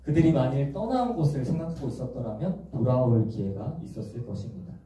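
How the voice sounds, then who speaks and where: A young man preaching to a church youth group in a gentle, explanatory way, often asking the congregation questions. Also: mid-range voice, lively intonation, fast. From Busan, South Korea. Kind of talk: sermon. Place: large echoey church hall. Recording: echoey church hall from far off.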